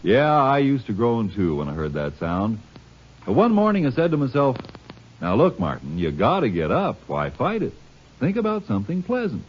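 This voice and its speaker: A man's voice: wildly swooping pitch, moderate pace, slight background noise. An American man reading a folksy, chummy 1950s radio commercial as the sponsor announcer. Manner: folksy, chummy salesman pitch. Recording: dull old radio recording with faint music.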